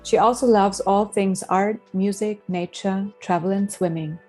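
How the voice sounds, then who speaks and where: A woman's voice: mid-range voice, steady tone, soft background music. Woman podcast host. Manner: measured, reads guest intro, then soft affirming mm-hmms. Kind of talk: scripted introduction and interview questions.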